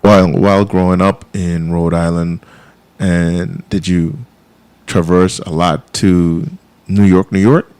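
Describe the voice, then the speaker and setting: A male radio host with a very low, warm voice asks his questions in a rambling, restarting way. His tone is smooth and resonant. The studio sound is dry and close. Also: flat delivery, takes it slowly, no room sound. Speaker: radio talk show host. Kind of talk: live call-in radio interview.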